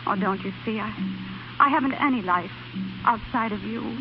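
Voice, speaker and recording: An actress playing a devoted, anxious and stubborn wife, earnest and emotional in 1930s radio style. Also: female voice, on the high side, normal speed, natural voice. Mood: pleading. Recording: muffled 1930s radio recording with music.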